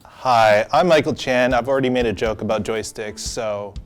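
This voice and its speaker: A man's voice: medium pitch, normal speed, soft background music. A man chatting casually as a discussion guest, frank and critical in how he gives his opinions. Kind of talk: casual chat.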